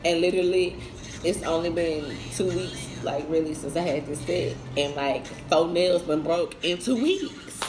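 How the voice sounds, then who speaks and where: A woman in her twenties chatting casually while eating on camera, telling a story in relaxed slang. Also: fairly low pitch, measured pace, noisy background. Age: 20s. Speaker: vlogger. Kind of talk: casual mukbang chat. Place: indoors by a concrete wall, eating at a table. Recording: roomy echo with music underneath.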